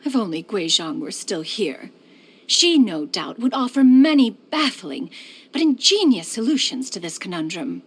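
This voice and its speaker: A woman's voice: medium pitch, big pitch swings, unhurried, breathy edge. A woman voicing an elegant, aloof ancient immortal in a video game, speaking with formal, archaic, precise and refined diction. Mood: musing, wistful. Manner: stately, formal, archaic phrasing, calling herself "one". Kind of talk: scripted video game character voice lines.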